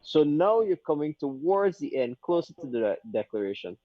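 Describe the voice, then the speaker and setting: A man's voice: fairly high pitch, very animated, moderate pace, dry recording. A man giving conversational analysis as a guest on a political panel. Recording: dull, dry, lo-fi audio.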